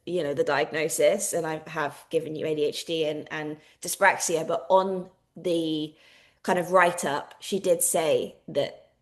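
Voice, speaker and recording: A woman's voice: low-pitched, fairly even intonation, measured pace. A woman, a guest on a podcast, reflecting openly on her childhood and diagnosis. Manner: open, reflective, many fillers. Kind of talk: candid personal account.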